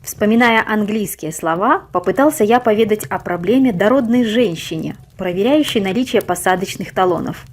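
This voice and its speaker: A woman reading a scripted story aloud as an expressive narrator. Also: medium pitch, moderate pace, few pauses.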